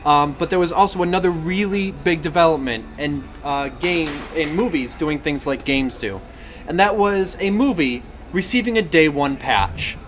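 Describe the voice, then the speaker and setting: A man's voice: a little high, normal speed, fairly bright tone. A man co-hosting a casual games and movies podcast, explaining things in a wry, rambling way. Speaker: podcast co-host.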